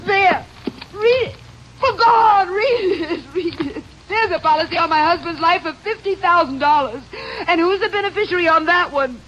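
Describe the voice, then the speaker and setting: Very high-pitched, overwrought widow. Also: female voice, normal speed. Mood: indignant, hysterical. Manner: overwrought, sharp-tongued. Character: distraught, defiant newly widowed ranch wife. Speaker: actress in a radio crime drama. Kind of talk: melodramatic old-time radio acting. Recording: dull, noisy vintage radio recording.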